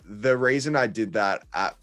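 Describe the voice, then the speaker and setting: Deep-voiced young man, halting chat. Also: fairly bright tone. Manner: hesitant, many ums and restarts, laughs easily. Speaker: guest content creator. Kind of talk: casual podcast chat.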